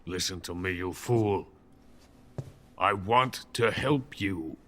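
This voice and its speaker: An actor playing a bitter, drunken, vain old weapons scientist in a theatrical, boastful video game cutscene style. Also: male voice, deep voice, background noise. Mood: alarmed, urgent. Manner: theatrical, grandiose, boastful, emphatic pauses.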